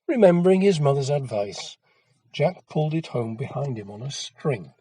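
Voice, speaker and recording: Male folktale narrator for children: measured, expressive, husky, recorded close and dry. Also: mid-range voice, no room sound, rough recording. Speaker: storyteller.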